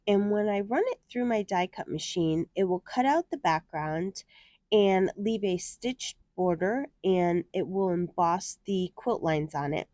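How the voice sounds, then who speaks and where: A woman walks through a card-making project step by step in a cheerful, chatty way. Her mellow voice sounds nasal and stuffed up from a head cold. The recording is dry and close, with no room echo. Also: medium pitch. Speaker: card-making channel host. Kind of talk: crafting tutorial narration.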